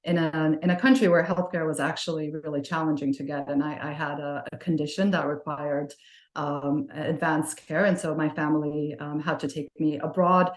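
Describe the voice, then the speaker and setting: A middle-aged woman with a low voice speaks in a thoughtful, conversational way, often pausing on fillers and restarting her sentences. Her voice is recorded in a roomy, echoey space. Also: little pitch movement. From Libya. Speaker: podcast guest. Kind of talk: conversational interview answers. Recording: roomy echo.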